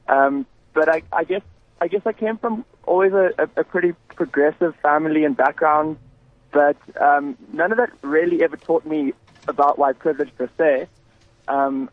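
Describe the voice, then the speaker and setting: A man calling in to a radio show, speaking hesitantly with many pauses and restarts. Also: a little high, no echo. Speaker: caller. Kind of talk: phone-in talk radio. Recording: tinny dry phone line.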